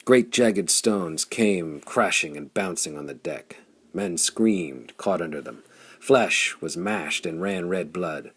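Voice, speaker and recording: Low, gravelly male audiobook narrator. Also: sing-song, slow pace, a bit bright. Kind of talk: dramatic scripted narration of pulp adventure fiction.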